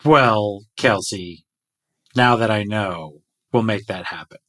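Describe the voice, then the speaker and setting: A man's voice: lowish voice, slow pace, fairly bright tone. A man hosting a podcast, asking long, thoughtful questions in a casual chat. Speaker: podcast host.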